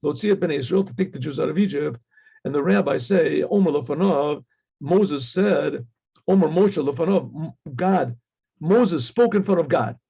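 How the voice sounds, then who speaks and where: A man's voice: fairly high pitch, normal speed, dark tone, highs cut off. A man teaching an informal religious lesson as a rabbi, reading Hebrew text and translating it conversationally, repeating phrases as he goes. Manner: conversational, acts out dialogue, repeats phrases, frequent throat clearing.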